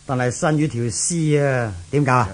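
An actor playing an uneasy crime boss with a Hong Kong Cantonese accent, agitated and sighing, in a 1970s radio drama. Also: male voice, medium pitch, normal speed, natural voice. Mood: urgent. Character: uneasy villainous male boss, cruel but anxious.

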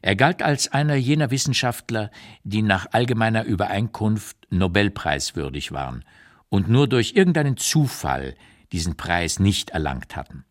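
An actor playing an elderly male writer looking back on his life, reading memoir prose in a measured, emphatic, carefully articulated way for a radio play. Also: fairly low pitch, lively intonation, natural voice. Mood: admiring, measured. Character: 85-year-old writer looking back on his exile years, reflective and candid.

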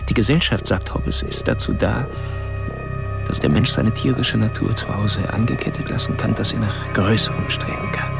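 An actor playing a brooding, philosophical serial killer in a measured, literary inner monologue for a radio play. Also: male voice, fairly low pitch, creaky. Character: brooding philosophical male serial killer, coldly self-absorbed. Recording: loud music bed behind the voice.

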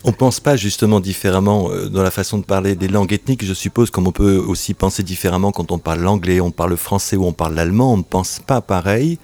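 A man interviewing a guest, asking slow, reflective questions. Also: sits low, a little mellow.

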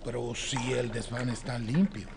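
An actor in a radio play playing a reserved, obedient household servant, politely evasive, with subdued, clipped replies. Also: male voice, medium pitch, level tone, unhurried, background noise, close to an everyday voice. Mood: defensive. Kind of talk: radio theatre acting.

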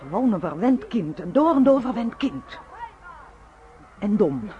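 A woman's voice: mid-range voice, very animated, unhurried. An actress playing an opinionated working-class mother in a radio drama, plain-spoken and insistent, repeating her points. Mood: indignant, scornful. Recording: muffled, noisy old radio drama recording.

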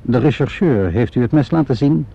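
An actor playing a calm, probing police inspector in a Dutch radio play, questioning politely and methodically. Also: male voice, medium pitch, moderate pace, gravelly, slightly rough. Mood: measured, persistent. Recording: dull, lo-fi old radio recording.

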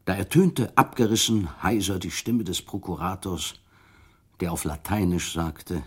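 A man's voice: low-pitched, a little slow, slightly rough. A man narrating a literary radio drama from a script, telling the story in formal prose.

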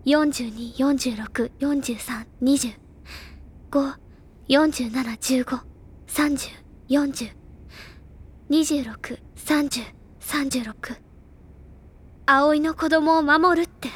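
A woman voicing a gentle, perceptive teenage girl in an audio drama, explaining in a measured, earnest way with soft phrase endings. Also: high voice, fairly even intonation. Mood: earnest, grave. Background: faint music.

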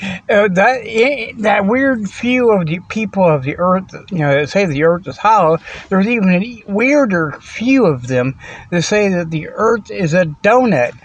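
Rambling folksy man in a parking lot. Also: sits high. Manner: folksy, laughs often, keeps saying "okay?". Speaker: host of a comedy podcast segment. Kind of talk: rambling casual monologue. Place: in a parked vehicle in a parking lot. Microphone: tablet.

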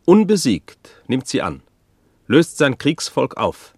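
Dry-sounding, animated narrator. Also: male voice, medium pitch, dry recording. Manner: dramatic, emphatic. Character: grave storyteller chronicling a rebel's fate. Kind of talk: radio play narration.

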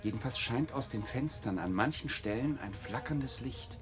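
An actor playing a monk glazier in a radio drama, earnestly passing on rumours like a storyteller. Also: male voice, medium pitch, animated, normal speed, lightly acted. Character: monk glazier gravely reporting eerie rumours.

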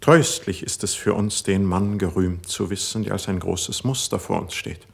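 An actor playing a passionate, melancholic young court poet in solemn, declamatory classical verse for radio drama. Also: male voice, low-pitched, creaky, husky, heavily acted. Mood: reverent. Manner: solemn, measured, declamatory delivery.

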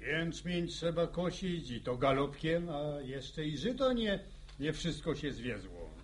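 An actor playing a blunt village farmer in a radio drama. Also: male voice, a little high, big pitch swings, a little slow.

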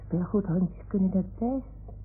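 A woman playing a plucky, boastful little toy dog in a radio play, with a childlike, eager manner and a husky voice. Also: medium pitch, measured pace. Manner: childlike, naive. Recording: muffled old radio drama, narrow band.

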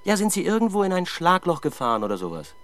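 A man's voice: high voice, wildly swooping pitch, quick pace, fairly bright tone, heavily acted. An actor playing a diligent, matter-of-fact police sergeant reporting to his superior in a radio crime drama. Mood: investigative. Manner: businesslike, reporting, persistent. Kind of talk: 1970s German radio crime drama acting.

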